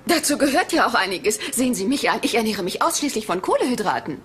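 An actress playing a frank, nervous young woman in a German crime radio drama, speaking haltingly and candidly. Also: fairly high pitch, big pitch swings, fairly bright tone, faint music behind. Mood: weary, defensive. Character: frank, uneasy young woman under police questioning.

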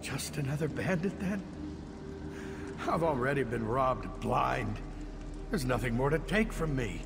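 An actor playing a fearful, indignant middle-aged captive mage in a video game, agitated and theatrical. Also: male voice, medium pitch, expressive, a little slow, creaky. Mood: defiant, bitter. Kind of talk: video game dialogue acting. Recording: long reverb, background noise.

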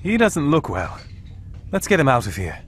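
Earnest, higher-voiced young man. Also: wildly swooping pitch, normal speed, slight background noise. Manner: plain-spoken, questioning. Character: earnest, puzzled young male sidekick. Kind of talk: video game cutscene acting.

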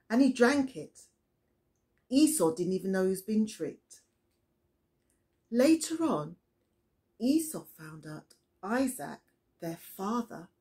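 A middle-aged woman reading a children's Bible story aloud as a storyteller, deliberate and expressive, recorded indoors in a small room. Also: mid-range voice. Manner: deliberate, expressive storytelling with questions to young listeners.

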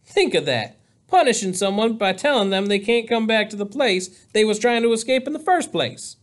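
A man voicing a wry, folksy schoolboy in an audiobook story, talking in a colloquial, animated way. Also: high voice, moderate pace, voice pushed up.

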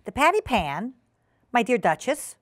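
A woman's voice: a little high, big pitch swings, unhurried, no echo, clean studio sound, voice pushed up. A woman voicing a genteel, fussy female cat hostess from a storybook, prim, formal and oversweetly polite, with a severe streak. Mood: gracious, puzzled.

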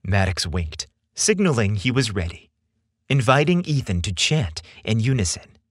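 A man narrating a scripted romance story as a podcast host, in an expressive storytelling style. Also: fairly low pitch, moderate pace, no room sound, clean studio sound.

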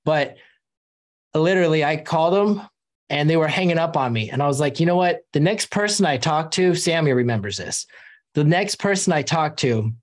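Young guy coaching sales folks over a video call, lively, talks with his hands. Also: on the high side, moderate pace. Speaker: host. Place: home room with shelves.

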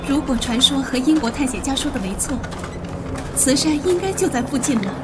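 Actress as female expedition leader: composed, articulate, high voice, radio drama. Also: expressive, fairly quick, heavy background noise. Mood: determined. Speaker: voice actor.

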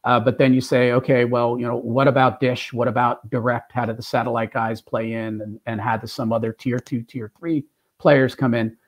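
A man answering on a video call panel, steady and upbeat with fillers. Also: mid-range voice, moderate pace. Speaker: sales executive panelist. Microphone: video call webcam.

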